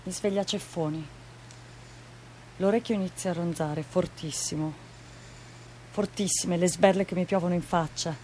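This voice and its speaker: A woman's voice: on the low side, steady tone, moderate pace, long pauses, mellow, slight background noise, lightly acted. A woman playing a battered victim recounting a beating in short fragments, in a theatrical radio monologue. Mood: stunned, anguished.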